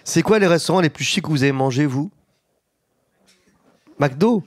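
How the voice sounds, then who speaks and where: A man's voice: fairly high pitch, animated, normal speed. A man chatting as a guest, stumbling and restarting his sentences and laughing often. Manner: hesitant, stammering, laughing. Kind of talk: casual chat.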